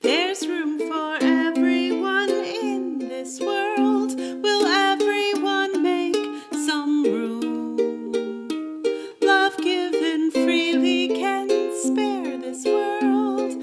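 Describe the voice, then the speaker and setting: A woman's voice: very high-pitched, very slow, loud music behind the voice. A woman singing a simple song to ukulele accompaniment.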